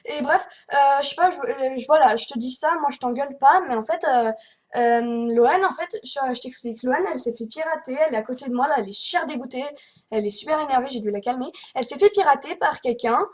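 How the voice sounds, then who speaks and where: A young girl with a high-pitched voice talks casually, as if improvising on a prank call. She rambles, hesitates and repeats herself as she tries to explain things. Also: fast talker. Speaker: prank caller, video host.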